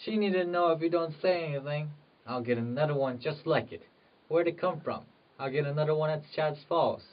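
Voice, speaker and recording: A man's voice: a little high, brisk, close to an everyday voice. A man playing a poor, conflicted farmer with rural old-fashioned phrasing in an amateur period drama. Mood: tender, reassuring. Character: poor, conflicted married farmer, secretly in love. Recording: muffled audio, distant microphone.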